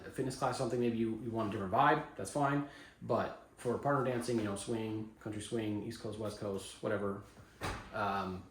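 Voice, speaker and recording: A man giving a casual how-to demonstration on audio setup for dance classes, relaxed and rambling with blunt opinions. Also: on the low side. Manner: relaxed, rambling, many fillers and restarts. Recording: echoey room.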